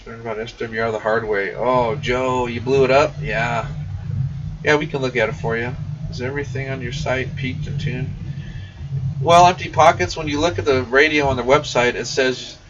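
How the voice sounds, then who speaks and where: A man's voice: mid-range voice, steady tone, moderate pace. A man hosting a casual shop livestream, reading and answering viewer comments in a folksy, informal way. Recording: muffled, distant mic with faint noise.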